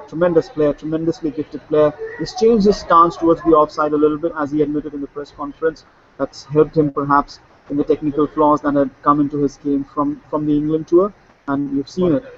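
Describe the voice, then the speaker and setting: A man's voice: on the high side, lo-fi sound, slight background noise. A man talking through a cricket match in a casual discussion, fluent but with many fillers and restarts. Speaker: cricket analyst. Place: Sydney, remote link.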